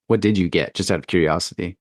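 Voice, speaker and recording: A man hosting a casual, reflective conversation, speaking thoughtfully with pauses in the middle of his phrases. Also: low voice, no room sound, studio quality. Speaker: podcast host.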